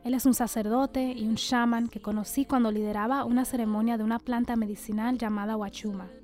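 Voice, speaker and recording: A woman hosting a podcast who narrates and interviews a guest in a calm, measured way. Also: a little high, faint music behind. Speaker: podcast host.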